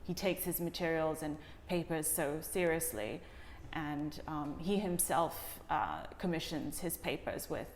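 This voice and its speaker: A woman giving reflective interview answers as a documentary director, in halting, hesitant phrases. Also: sits low. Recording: echoey reverberant room with faint noise.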